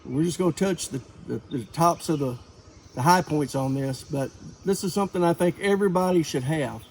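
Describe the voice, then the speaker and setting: A man's voice: fairly high pitch, normal speed, a little mellow, slight background noise. A middle-aged man, talking casually as he explains gear in a folksy, plain-spoken way. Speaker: host of a preparedness channel. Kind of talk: casual instructional vlog.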